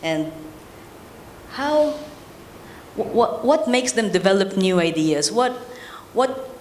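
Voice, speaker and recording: A woman moderating a panel, putting questions to a guest in a halting way and rephrasing as she goes. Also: medium pitch, big pitch swings, a little mellow. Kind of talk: interviewing panelists, partly from a script. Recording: roomy echo, faint background noise.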